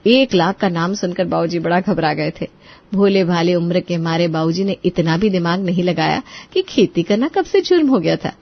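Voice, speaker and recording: A woman's voice: fairly low pitch, moderate pace, muffled recording. A woman narrator reading a scripted moral tale in storytelling style.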